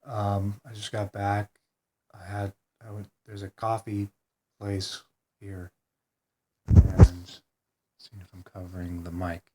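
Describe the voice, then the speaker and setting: A congested man talking hesitantly in a rambling personal video diary, full of pauses and ums. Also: on the low side, little pitch movement, warm tone, slightly rough. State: congested, stuffed-up nose. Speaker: vlogger.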